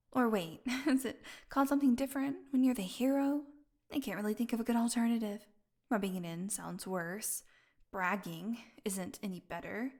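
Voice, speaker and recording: A woman playing a starved, flirty, sardonic captive vampire in an intimate audio roleplay, teasing and slipping into breathy whispers. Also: sits high, a little mellow. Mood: sardonic, wry. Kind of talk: audio roleplay acting, intimate one-sided dialogue.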